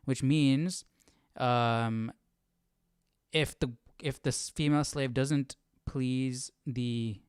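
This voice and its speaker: A man with a smooth voice, recorded dry and close. He reads aloud informally as a livestream study host, often pausing to question himself and muse over the meaning. His pitch sits in a middle range. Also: fairly even intonation, darkish tone, no room sound. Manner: musing, hesitant, self-questioning. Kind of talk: informal reading aloud and thinking out loud.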